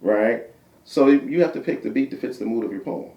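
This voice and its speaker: A man with a deep voice, a guest poet chatting casually in an interview, repeating himself and tagging points with "right?". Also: expressive, a little fast, mellow, dull audio. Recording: roomy sound from a distant mic.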